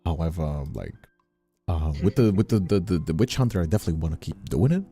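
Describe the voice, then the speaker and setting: Very mellow, deep-voiced man chatting. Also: fast talker, faint music behind. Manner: excitable, rambling, interrupts. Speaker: streamer and voice actor. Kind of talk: casual livestream chat.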